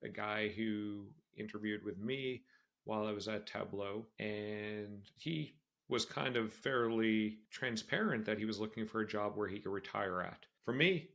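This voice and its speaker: A man's voice: fairly low pitch, moderate pace, gravelly, fairly bright tone. A man in his forties hosting a solo career podcast, talking candidly and conversationally and repeating phrases for emphasis. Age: 40s. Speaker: podcast host. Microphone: screen-sharing video podcast setup.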